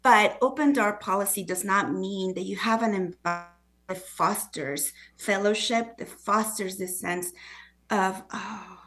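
A woman with a foreign accent talks as an interview guest and author. Her tone is earnest and conversational. She acts out other people's remarks as she goes, at an ordinary pitch for a woman. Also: measured pace, a bit bright. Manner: earnest, acts out quoted voices.

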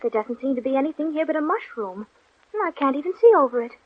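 A woman's voice: sits high, lively intonation, fast talker, dry recording. A woman playing a polite, curious young girl who muses aloud to herself in an old radio play. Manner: polite, wondering, talks to herself. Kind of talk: 1940s radio acting. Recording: dull old radio recording.

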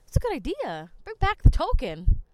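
A woman's voice: sits high, wildly swooping pitch, moderate pace, warm tone, dry recording. A woman from New Jersey, a podcast host telling rambling stories in casual chat. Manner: chatty, rambling, lots of "like". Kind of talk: casual storytelling monologue.

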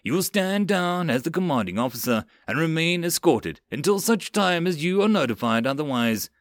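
A man's voice: on the high side, lively intonation, moderate pace, no echo, voice pushed up, lightly acted. A man voicing a level-headed male Marine sergeant in a military fantasy audiobook, barking firm orders with exasperation. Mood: stern, formal.

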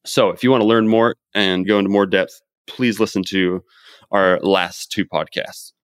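A man's voice: fairly low pitch, fairly even intonation, moderate pace, smooth, no room sound, studio quality. A man hosting a podcast, chatting and summing up advice in an upbeat coaching tone. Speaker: podcast host. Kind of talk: casual podcast chat and summary.

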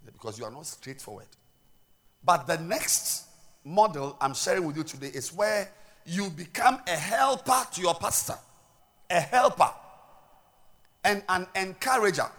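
A man with a Ghanaian accent preaching a sermon as pastor, swinging between loud declarations and quiet asides and repeating words as he restarts. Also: high-pitched, lively intonation, a little slow, pauses often.

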